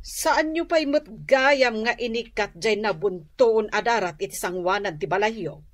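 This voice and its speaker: A woman's voice: fairly high pitch, unhurried, lightly acted. An actress playing an indignant, complaining older neighbour woman with an Ilocano accent, stern and griping in a radio comedy drama. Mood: annoyed, complaining. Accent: Ilocano Filipino.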